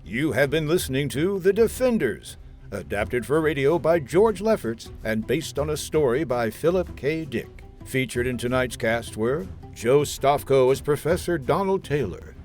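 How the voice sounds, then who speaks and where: A man's voice: medium pitch, lively intonation, moderate pace, darkish tone, soft background music. A man reading scripted closing credits as an old-time radio announcer.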